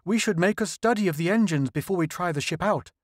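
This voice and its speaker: A man's voice: sits high, fast talker, no room sound, clean studio sound, pitched above the performer's own voice. A man voicing a nervous, eager male doctor in a story reading.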